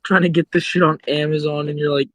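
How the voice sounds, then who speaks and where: A man's voice: on the high side, level tone, quick pace, no room sound. A young man joining a casual podcast chat, teasing his friends bluntly and swearing.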